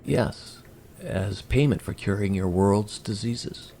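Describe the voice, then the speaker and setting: Guy doing a calm formal alien envoy for audio drama, deep voice, very precise and polite, slight background noise. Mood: calmly persuasive. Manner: formal, deliberate, precise wording. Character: courteous, measured male alien envoy from Kree. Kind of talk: scripted audio drama acting.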